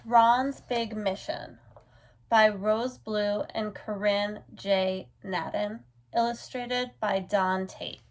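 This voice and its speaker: A woman reading a children's picture book aloud as a storyteller, in an expressive, deliberate way. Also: medium pitch, low-quality recording.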